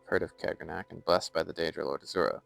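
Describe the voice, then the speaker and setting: Low gravelly American streamer. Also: male voice, fast, no room sound, soft background music. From United States. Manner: relaxed, chatty, reads game lore aloud with remarks. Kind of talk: casual live-stream chat while gaming.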